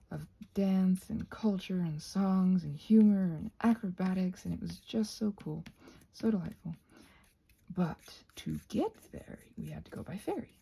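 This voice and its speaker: A woman rambling casually and effusively in a soft ASMR style, often slipping into whispers as she thinks aloud while crafting. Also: fairly low pitch, creaky, husky.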